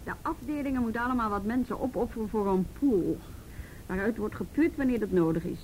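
A woman's voice: a little high, a little fast, a little mellow, a little hiss or hum, natural voice, rough recording. An actress playing a weary, matter-of-fact policewoman with a sardonic edge in a radio drama. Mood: weary, resigned. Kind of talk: naturalistic radio drama acting.

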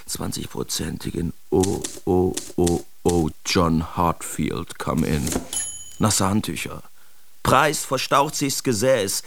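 Mocking Dadaist artist, brash, theatrical recitation, crackling vocal fry, radio play. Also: male voice, sits low, exaggerated voice. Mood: drunken reverie. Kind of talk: expressive literary radio drama recitation.

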